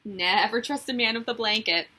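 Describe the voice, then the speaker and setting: A reproachful little storybook girl, acted by a woman narrator, indignant and accusing. Her voice sits high, with a sharp, husky bite. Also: moderate pace. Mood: fuming, accusing. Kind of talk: children's storybook read-aloud.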